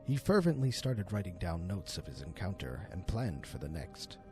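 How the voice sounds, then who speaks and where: A man narrating a horror story in a theatrical, deliberate manner, as an amateur storyteller and voice actor. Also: low-pitched, sing-song, over music. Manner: theatrical, deliberate, swooping delivery.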